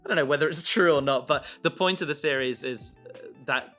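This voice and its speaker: Male podcast host, bright forward voice, casual and enthusiastic, wandering sentences, faint music behind. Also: a little high, a little fast. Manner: rambling, enthusiastic, trails off mid-thought. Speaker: podcast host and audio drama creator. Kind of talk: casual chat about making an audio drama.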